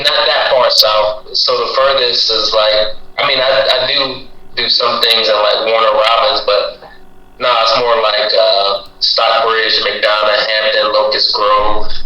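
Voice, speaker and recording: A man with a bright, sharp voice jokes and teases on a stream call. His mic is far off and dull-sounding in an echoey room with faint noise. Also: lowish voice, flat delivery, measured pace. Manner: teasing, joking. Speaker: real estate investor. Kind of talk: casual chat.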